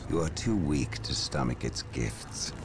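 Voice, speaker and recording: A cold, gravelly traitor. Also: male voice, a bass voice, animated, unhurried, heavily acted. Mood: scornful. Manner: cold, scornful. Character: treacherous male warrior turned traitor, cold and scornful. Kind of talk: video game cutscene acting. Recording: ambient game noise.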